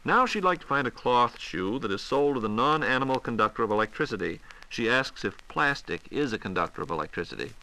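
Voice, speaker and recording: A man hosting a 1940s radio question program, reading out listener questions in a polite, crisp announcer manner. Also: mid-range voice, animated, fast, hardly any pauses, sharp tone, a little hiss or hum, rough recording. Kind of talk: semi-scripted 1940s radio question-and-answer. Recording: 1940s radio broadcast recording.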